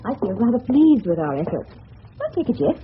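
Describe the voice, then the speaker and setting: Gushing English suburban wife, coaxing and genteel; radio drama, dull, noisy old recording. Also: female voice, fairly high pitch, sing-song, a little fast. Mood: gushing, chatty. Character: seductive suburban married woman, gushing genteel hostess. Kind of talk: 1970s radio drama acting.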